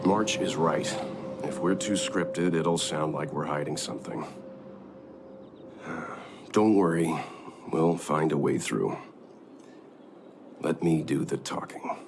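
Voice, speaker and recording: A man playing a calm, prudent older mentor in a video game, speaking in a measured, articulate and reassuring way. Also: a bass voice, a little hiss or hum, exaggerated voice.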